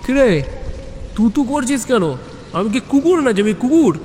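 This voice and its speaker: A man's voice: very high-pitched, big pitch swings, unhurried, darkish tone, big-room sound, faint music behind, pitched above the performer's own voice, heavily acted. A man voicing a stingy, big-bellied neighbourhood big brother in a comic audio story, bragging and easily riled. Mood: irritated.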